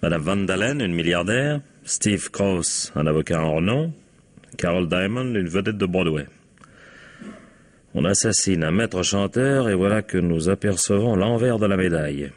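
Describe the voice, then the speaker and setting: Very deep, wry police inspector. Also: male voice, lively intonation, normal speed. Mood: wry, musing. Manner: ironic, prodding, drawling interjections. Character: wry, dogged, sardonic male police inspector. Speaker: actor in a radio crime drama. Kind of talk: French radio mystery acting.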